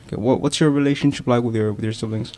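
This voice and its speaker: A man's voice: medium pitch, steady tone, racing, a little mellow, no echo. A man hosting a podcast interview, often repeating words as he asks questions. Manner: stammering, repeats words while asking. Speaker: podcast host.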